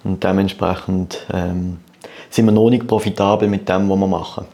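A man's voice: deep voice, steady tone, normal speed, vocal fry, warm tone. A man in his thirties with a Swiss German accent, chatting casually as an interview guest and often repeating words as he goes. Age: 30s. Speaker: podcast guest, start-up entrepreneur.

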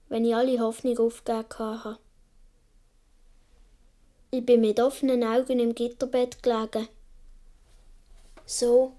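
A woman's voice: fairly high pitch, mellow, natural voice. A woman with a Swiss accent playing an imaginative boy of seven or eight in a radio play, telling things in an earnest, dreamy way. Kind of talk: radio play monologue, childlike storytelling.

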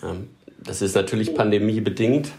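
A man answering as an industry consultant, halting and restarting his phrases with fillers. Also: lowish voice, steady tone, warm tone. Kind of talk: interview answers.

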